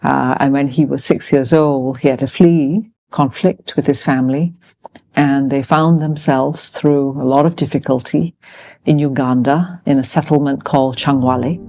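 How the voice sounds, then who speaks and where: An interviewee speaking deliberately, recalling a story with hesitant fillers. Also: mid-range voice, fairly even intonation, darkish tone. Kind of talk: interview recollection.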